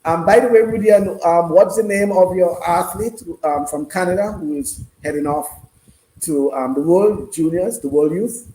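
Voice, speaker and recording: A man hosting a casual live sports discussion, speaking haltingly and repeating himself. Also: sits high, dark tone. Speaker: sports radio host. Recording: roomy, noisy room sound.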